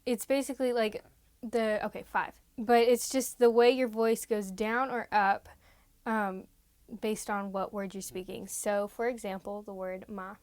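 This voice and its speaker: A woman's voice: medium pitch, steady tone, a little slow, darkish tone, no echo. A woman who grew up as an American in China, chatting casually and explaining things in a giggly way. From China, as an American expatriate child. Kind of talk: casual conversational storytelling.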